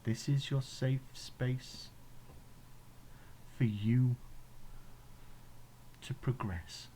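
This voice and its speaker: A man with an English accent leading a guided meditation in a gentle, soothing, instructional way, leaving pauses between phrases. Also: mid-range voice, steady tone, slight background noise. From England.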